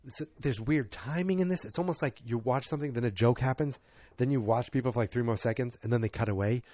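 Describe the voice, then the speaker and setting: A man's voice: medium pitch, fast talker, warm tone, dry recording. A man hosting a casual solo movie-review podcast, rambling and sarcastic, often interrupting himself. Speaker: podcast host.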